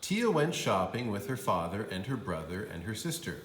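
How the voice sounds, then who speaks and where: A man reading a storybook aloud to young children as a kindergarten teacher, deliberate and emphatic. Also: lowish voice, sing-song, fairly bright tone, echoey room, slight background noise.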